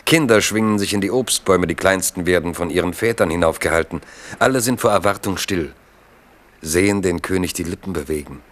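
A man narrating a historical chronicle in a literary, measured and vivid radio drama style. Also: low voice, fairly bright tone. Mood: narrative. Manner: measured, vivid present-tense telling.

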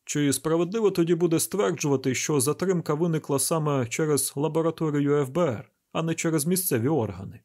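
A man's voice: fairly high pitch, brisk, few pauses, darkish tone, pitched above the performer's own voice, close to an everyday voice. A man voicing a persistent, needling police press officer in an audiobook, with pointed questioning.

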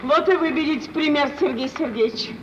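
An actress as a high-pitched, ingratiating society matron, effusively hospitable and eager to smooth things over. The theatrical delivery comes through a dull, faintly hissy vintage radio drama recording. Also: female voice, brisk, lightly acted. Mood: gracious, insistent. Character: fussy, hospitable society mother, placating and ingratiating. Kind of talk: 1940s Russian stage acting for radio. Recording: muffled, noisy mid-century radio play recording.

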